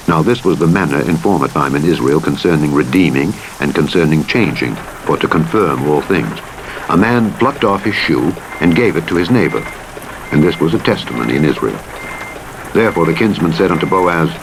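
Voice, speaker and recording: Deep, animated male scripture narrator over vehicle noise. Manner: solemn, measured, expressive storytelling delivery. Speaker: audiobook narrator. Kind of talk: scripted dramatic Bible reading. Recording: noisy, with vehicle and rain-like rumble. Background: truck simulator game driving noise.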